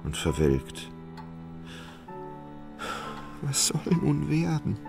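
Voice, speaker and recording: An actor in a radio play, playing an anxious, indolent, melancholy gentleman in love, hesitant and sighing. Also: a little high, huge pitch swings, a little slow, vocal fry, soft background music, full character voice.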